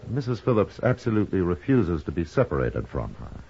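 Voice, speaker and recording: An actor playing a formal, courteous frontier army colonel in a 1950s radio western, polite and matter-of-fact. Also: male voice, low voice, big pitch swings, fairly quick, gravelly, dark tone, a little hiss or hum, exaggerated voice. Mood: grave, explanatory. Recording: muffled vintage radio recording.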